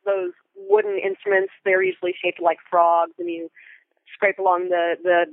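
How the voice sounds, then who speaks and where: A woman's voice: on the low side, big pitch swings, normal speed, gravelly, no room sound. A woman speaking as an interview guest, a Foley artist telling stories in a casual, enthusiastic and descriptive way. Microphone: phone or remote call. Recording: tinny, narrow phone-like line.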